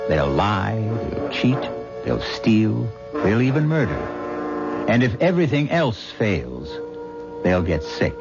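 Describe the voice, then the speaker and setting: Ominous man narrating over loud music. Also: lowish voice, very animated, takes it slowly, gravelly. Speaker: host and narrator. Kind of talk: scripted radio drama narration. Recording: dull old radio broadcast over loud music.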